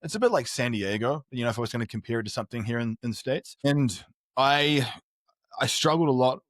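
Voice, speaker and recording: A man's voice: mid-range voice, lively intonation, a little fast, no echo, clean studio sound. A middle-aged man with a New Zealand accent, telling his life story as a podcast guest in an earnest, reflective way. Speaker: performance strategist guest. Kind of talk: candid podcast interview.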